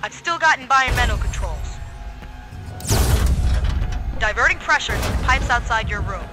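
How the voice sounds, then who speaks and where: Woman acting tough marine squad leader, clipped commanding delivery, military game, loud music behind. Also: a little high, lively intonation, fast, natural voice. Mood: urgent. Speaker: squad radio guide.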